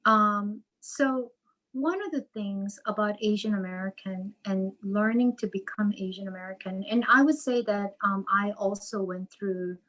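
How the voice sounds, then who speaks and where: Slow, measured woman author. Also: medium pitch, fairly bright tone, low-quality recording. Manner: slow, measured, thoughtful with repetitions. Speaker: psychotherapist and author, guest. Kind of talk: reflective interview answers.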